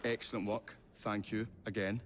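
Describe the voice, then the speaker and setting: A man's voice: fairly low pitch, flat delivery, moderate pace, natural voice. A middle-aged man playing a genteel Victorian inventor, hesitant and stammering, with a slight hiss behind. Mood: genial. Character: fussy, grateful Victorian male inventor.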